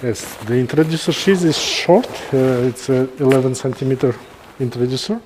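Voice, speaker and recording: A middle-aged man with a Kazakh accent gives informal live commentary as a surgeon, halting and pausing mid-sentence. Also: medium pitch, a little mellow, a little hiss or hum, poor audio. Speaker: neurosurgeon presenting a live case.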